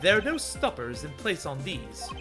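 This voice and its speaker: Man acting video game prosecutor, formal, precise, composed, animated intonation, music underneath. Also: on the high side, moderate pace, vocal fry, heavily acted. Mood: composed, exacting. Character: composed, formal male prosecutor, dry and exacting. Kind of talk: fan dub of a video game.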